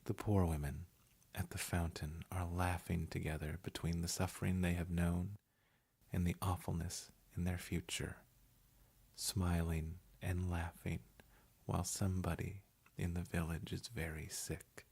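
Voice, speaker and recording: Man, very deep voice, husky texture, measured hushed narration, reading essay and poetry. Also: little pitch movement. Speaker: voice-over artist.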